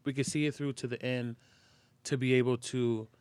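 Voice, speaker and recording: A man speaking earnestly and in a measured way in a casual podcast conversation, often checking in with listeners. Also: medium pitch, flat delivery, a little mellow, smooth, no room sound. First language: English.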